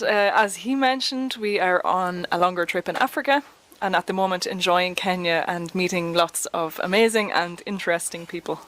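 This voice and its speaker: A woman's voice: medium pitch, fairly bright tone. Confident Irish woman guest. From Ireland. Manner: direct, confident, advice-giving. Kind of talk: interview answers.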